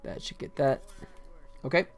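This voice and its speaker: A man in his forties, a game developer, casually narrating his work on a livestream, often muttering to himself. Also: moderate pace. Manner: muttering to himself in short bits. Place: small indoor room at a computer. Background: faint music, keyboard typing.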